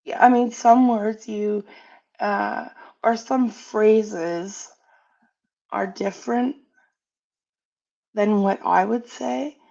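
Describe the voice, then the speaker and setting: Canadian lady on a stream panel, slow and kinda gravelly, muffled lo-fi audio. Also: mid-range voice, fairly even intonation, warm tone. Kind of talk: casual chat. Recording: dull lo-fi audio.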